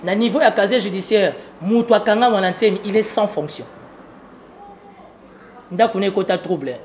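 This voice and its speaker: High-pitched, emphatic Congolese man. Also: moderate pace, slight background noise. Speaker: political analyst being interviewed. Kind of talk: political commentary in an interview.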